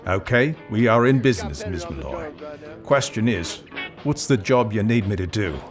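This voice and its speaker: Audio drama gunslinger male actor: deep, stubborn, gruff cowboy for hire, old-time turns of phrase, music underneath. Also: lively intonation, moderate pace, close to an everyday voice. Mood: dealmaking. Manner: laconic, ornate old-time Western phrasing.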